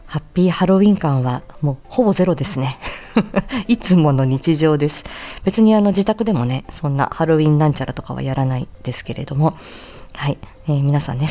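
A woman's voice: low voice, dark tone. A woman host giving a casual, chatty morning announcement in a cheerful, giggly and informal manner. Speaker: audio channel host, speech therapist and amateur voice actor. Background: background music.

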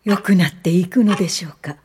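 A woman's voice: on the low side, quick pace, a little mellow, a little hiss or hum, natural voice. An actress playing an earnest woman welfare activist, narrating her past in careful, polite radio drama style. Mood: troubled, determined. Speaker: narrator and lead character.

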